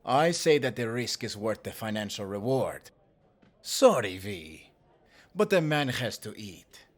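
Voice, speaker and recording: A man's voice: lowish voice, very animated, a little slow. A man playing a teasing, mercenary outlaw comrade in an audio drama, mocking and dropping in Spanish words. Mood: calculating. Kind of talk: audio roleplay voice acting.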